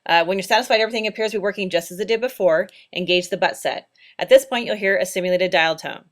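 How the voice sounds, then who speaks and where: A woman's voice: medium pitch, quick pace, fairly bright tone. A woman narrating instructional training slides in a plain, practical, step-by-step way. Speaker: training presenter.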